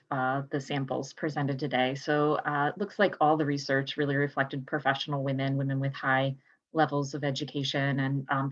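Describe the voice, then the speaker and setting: Low-voiced woman, dull lo-fi sound. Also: fairly bright tone. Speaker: panel moderator putting questions. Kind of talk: measured academic questioning.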